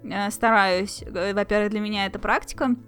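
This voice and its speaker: A woman hosting a casual conversation, chatty and quick to laugh. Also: medium pitch, fairly even intonation, fairly bright tone, clear, resonant tone, soft background music.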